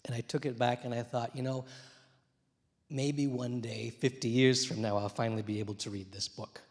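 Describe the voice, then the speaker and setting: Man, slightly hoarse, reflective storytelling, handheld mic, reverberant theater hall. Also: medium pitch, steady tone, moderate pace. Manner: reflective, gently humorous, many "uh" fillers. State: slightly hoarse from many interviews. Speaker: translator and poet. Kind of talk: storytelling talk on stage. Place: theater stage, dark room.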